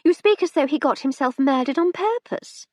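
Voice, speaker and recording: A woman doing a high, scolding plump matron. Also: animated, moderate pace, a little mellow, no echo, studio quality. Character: plump, stern middle-aged wife.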